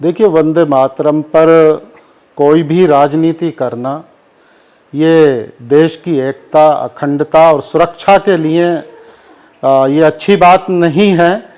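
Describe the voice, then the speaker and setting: A man speaks as a cleric being interviewed, in a fairly high voice. He takes his time, delivering formal, measured statements full of Urdu vocabulary. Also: fairly even intonation, a little mellow. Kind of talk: formal press interview answers on current affairs.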